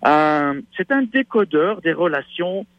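A middle-aged man, a stage actor, chatting as a guest in a radio interview about his show with a lively, promotional manner. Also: a little high, slow, dry recording. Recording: dull, slightly lo-fi radio sound.

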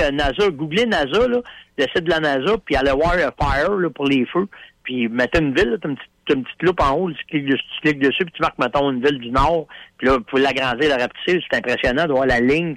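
A man's voice: on the high side, dry recording, poor audio. A man with a Quebec French accent chatting casually on a radio show, telling stories in folksy slang with lots of fillers. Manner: animated storyteller, folksy slang, many fillers.

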